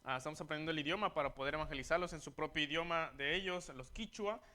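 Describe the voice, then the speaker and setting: Missionary guy talking to a church, kinda high pitched, earnest, says brothers constantly. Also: quick pace, pushed and bright. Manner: earnest, says "brothers" in nearly every sentence. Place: church sanctuary.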